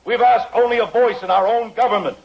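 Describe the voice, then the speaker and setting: A man's voice: sits high, fairly even intonation, brisk, vocal fry. A man acting in a 1950s radio drama as an earnest, defiant colonial defense lawyer, formal and oratorical. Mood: impassioned, defiant. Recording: dull lo-fi vintage radio broadcast.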